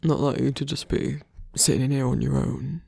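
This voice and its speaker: Man acting a hushed, husky, tender lover. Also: mid-range voice, moderate pace, no echo, clean studio sound. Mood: gentle concern. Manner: soft, hesitant, breathy delivery. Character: gentle, caring male lover soothing a partner. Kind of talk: ASMR roleplay acting.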